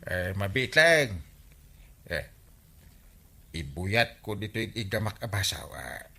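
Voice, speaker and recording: An actor playing a naive, timid, excitable husband in an Ilocano radio comedy drama, stammering and exclaiming as he goes. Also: on the low side, takes it slowly, exaggerated voice. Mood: eager, naive. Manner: stammering, exclaiming, stalling with interjections.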